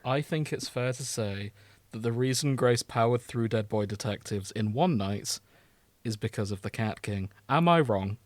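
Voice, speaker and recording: A man's voice: medium pitch, normal speed, no echo. A man co-hosting a podcast, chatting casually about television in a teasing, sweary way. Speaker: podcast co-host. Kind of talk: casual banter about TV with friends.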